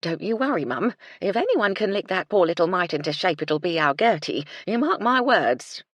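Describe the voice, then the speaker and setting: A woman's voice: fairly low pitch, expressive, normal speed, no room sound. A narrator voicing a firm, motherly housekeeper. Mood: reassuring. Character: homely woman housekeeper, reassuring and firm.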